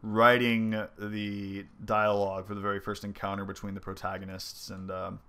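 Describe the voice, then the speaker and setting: A man's voice: on the low side, little pitch movement, moderate pace, pushed and bright, clean tone. A young man with a stuffy, congested voice, casually thinking aloud as he shows off his game engine, with plenty of ums and likes. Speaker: indie game developer. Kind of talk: casual devlog commentary over gameplay.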